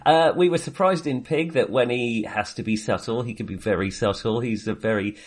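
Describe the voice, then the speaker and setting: A man co-hosting a casual film discussion, talking in a hesitant, halting way and circling back on his phrases. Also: mid-range voice. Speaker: podcast co-host reviewing films.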